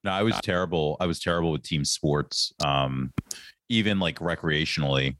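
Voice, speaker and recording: A man's voice: extremely low pitch, normal speed, a bit bright, slight background noise. A man hosting a podcast, chatting casually and asking his guest questions. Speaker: podcast host. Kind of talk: casual conversation.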